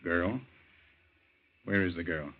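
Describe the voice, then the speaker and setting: A deep-voiced, stern older American doctor in an old radio play. He speaks curtly and with authority. The recording is muffled with age. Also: slow pace, full character voice. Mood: gruff. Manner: curt, authoritative. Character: stern, brusque older male doctor, an uncle. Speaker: radio drama actor. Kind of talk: old-time American radio drama acting.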